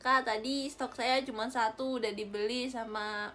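A woman's voice: fairly high pitch, fairly even intonation, moderate pace, pushed and bright, a little hiss or hum. Giggly young woman chatting on a livestream. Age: young adult. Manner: giggly, chatty, reads out viewer gifts. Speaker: idol livestreamer. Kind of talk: casual livestream chat with viewers. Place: small bedroom with a gaming chair. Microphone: webcam or phone at close range.

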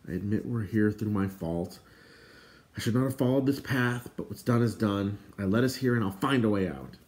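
A man's voice: fairly low pitch, lively intonation, moderate pace, a little mellow, lightly acted. Man as an earnest young adventurer. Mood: earnest, apologetic. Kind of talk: fantasy storybook character voice.

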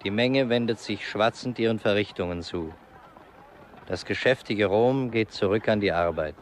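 An actor playing a solemn chronicler, narrating a scripted German-style radio play. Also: male voice, lowish voice, moderate pace, slight background noise, close to an everyday voice. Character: solemn male chronicler of a Roman funeral.

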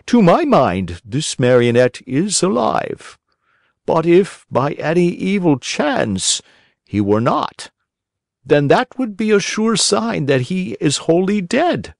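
A man's voice: fairly high pitch, big pitch swings, slow, creaky, no echo, studio quality, pitched above the performer's own voice, full character voice. Man doing a fussy pedantic owl doctor.

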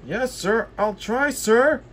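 Man doing an eager, high-pitched cheeky little engine. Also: slow, heavily acted. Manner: bright, excitable, repeats urgings. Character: eager, cheeky young male steam engine.

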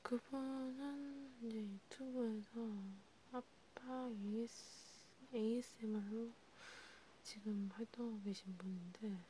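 A woman whispering softly in a halting, conversational way, as in an ASMR counseling roleplay. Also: fairly high pitch, fairly even intonation, low-quality recording. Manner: hushed, slow, halting, conversational. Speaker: ASMR creator playing a counselor. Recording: noticeable background hiss.

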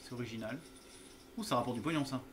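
A man's voice: mid-range voice, fast, crowd noise. A man commenting casually and playfully while he plays a game, joking and laughing often. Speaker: streamer playing a horror game.

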